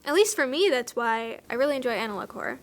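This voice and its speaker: A woman's voice: sits high, expressive, quick pace. A woman talking casually and enthusiastically in a conversational, interview-style commentary. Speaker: documentary interviewee, filmmaker.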